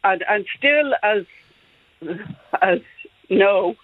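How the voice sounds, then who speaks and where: A woman's voice: mid-range voice, animated, extremely slow. An Irish woman from County Meath calling into a radio show, giving short plain answers. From Ireland, County Meath. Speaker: caller. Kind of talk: call-in radio chat. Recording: tinny phone line.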